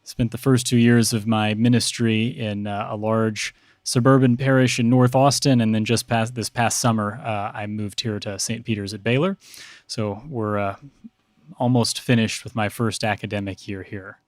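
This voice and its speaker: Measured American man, thoughtful priest, dry sound. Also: lowish voice, level tone, dry recording. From Texas, United States. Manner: measured, hesitant, thoughtful phrasing. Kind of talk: reflective interview talk.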